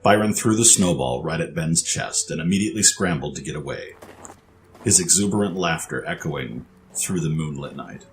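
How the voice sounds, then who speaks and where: A man's voice: rumbling low, lively intonation, moderate pace, a little hiss or hum, poor audio. A man reading scripted story narration for an audio drama.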